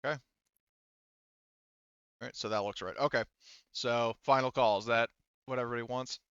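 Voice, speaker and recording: A man's voice: medium pitch, fairly even intonation, normal speed, a bit bright, clear, resonant tone, no echo, muffled recording. A man running a tabletop roleplaying game as game master, talking informally and swearing freely as he narrates and handles turns.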